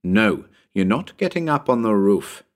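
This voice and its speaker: A man's voice: on the low side, sing-song, unhurried. A man voicing a firm, impatient, sarcastic man minding a child in a storybook.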